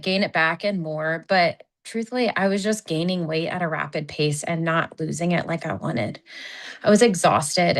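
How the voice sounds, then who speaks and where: Earnest woman telling her own story. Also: on the low side. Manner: earnest and candid, casual with frequent "like". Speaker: guest sharing a personal testimonial. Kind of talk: personal testimonial on a webinar.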